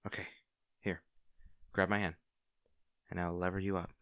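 Man playing a low-voiced, caring young barista. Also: little pitch movement, normal speed, no room sound, clean studio sound. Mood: caring, reassuring. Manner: soft-hearted, reassuring, hesitant. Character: kind young male barista, caring, shyly smitten. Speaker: audio roleplay voice actor. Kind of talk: intimate comfort audio roleplay, natural conversational acting.

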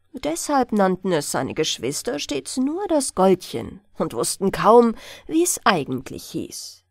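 A woman narrating a bedtime fairy-tale audiobook in an expressive storytelling style, close to the mic. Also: lowish voice, no room sound.

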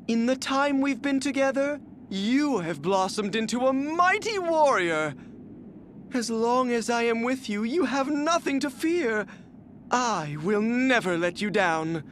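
Vain elegant game warrior, high-pitched, unhurried, refined, effeminate, theatrical, faint background noise. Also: male voice, fairly bright tone, exaggerated voice. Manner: refined, flowery, effeminate, dramatic delivery. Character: vain, flamboyant, elegant warrior obsessed with beauty. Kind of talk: theatrical video game character acting.

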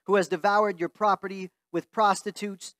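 A man's voice: high-pitched, flat delivery, moderate pace, fairly bright tone, no room sound, clean studio sound. A man in his thirties, a pastor, preaching and reading scripture aloud earnestly in a church sanctuary. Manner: earnest, reads aloud from a Bible while standing. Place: church sanctuary before a stained-glass wall.

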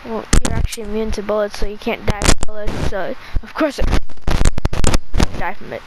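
A woman's voice: mid-range voice, fairly even intonation, moderate pace, lightly acted. A woman playing a bossy, scheming villain master in an improvised video game roleplay, speaking in an offhand, commanding way. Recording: very noisy home game recording.

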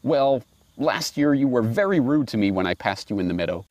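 A man's voice: mid-range voice, lively intonation, fairly quick, darkish tone, dry recording, dull audio, close to an everyday voice. A man voicing a hungry, scheming wolf, cross and accusing, in a storybook fable. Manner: accusing, blustering.

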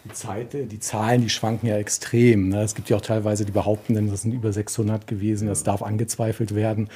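A man with a very husky voice, commenting casually and knowledgeably, with some hesitation and tags seeking agreement. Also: on the low side, level tone, brisk, warm tone. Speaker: author, podcast guest. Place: indoors in a museum.

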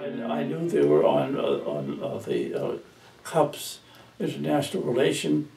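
An older man recalling campus groups with halting speech and many fillers. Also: medium pitch, wildly swooping pitch, vocal fry, music in the background. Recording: distant echoey room.

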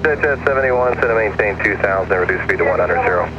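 A man's voice: lowish voice, fast talker. A man working as an approach controller gives clipped instructions over aircraft radio. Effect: aircraft radio transmission. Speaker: approach air traffic controller. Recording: muffled, noisy aircraft radio with engine drone. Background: aircraft cabin noise.

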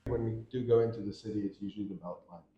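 A rugby player chatting on a panel in an easygoing, hesitant way with fillers. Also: male voice, lowish voice, steady tone, fast, roomy sound, lo-fi sound, faint music behind. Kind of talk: casual panel chat. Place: indoor room with a branded backdrop.

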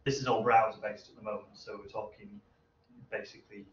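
A man's voice: fairly low pitch, flat delivery, fairly quick, recorded from a distance. A man in his thirties answering audience questions as a seminar presenter, explaining things informally and hesitantly. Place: university seminar room, indoors. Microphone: distant camera microphone. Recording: echoey room from afar, dull, hissy.

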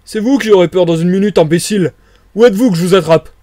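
A man's voice: sits high, normal speed, warm tone, no room sound, voice pushed up, natural voice. A man voicing a grumbling, bewildered tramp in an audiobook, blustering and full of exclamations. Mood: alarmed, blustering. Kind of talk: dramatized audiobook reading.

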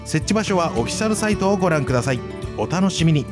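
Higher-voiced male radio co-host over music. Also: animated, quick pace. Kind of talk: radio announcements and co-host chat. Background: background music.

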